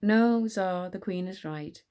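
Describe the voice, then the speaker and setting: A woman's voice: mid-range voice, slow, dark tone, clear, resonant tone, close to an everyday voice. A woman voicing a wry, composed enchanter king in a storybook, sardonic and musing. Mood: calm defiance.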